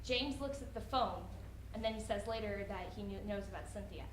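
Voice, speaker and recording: A young woman writer answering audience questions off the cuff, often halting and restarting her thoughts. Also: mid-range voice. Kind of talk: unscripted question-and-answer talk after a reading. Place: indoor room at a college event. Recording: roomy echo, faint background noise.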